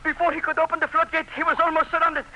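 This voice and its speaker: Shrill, panicked plantation worker. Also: male voice, level tone, racing, muffled recording. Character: panicked plantation worker bringing dire news. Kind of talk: old-time radio drama acting.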